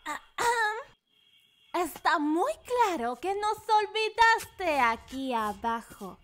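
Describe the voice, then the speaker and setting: A woman's voice: very high voice, sing-song, very slow, a bit bright, exaggerated voice. A woman voicing an impatient, sarcastic young female cat in a cartoon dub, with a Latin American Spanish accent. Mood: annoyed. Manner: dry, sarcastic. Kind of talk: animated cartoon fandub.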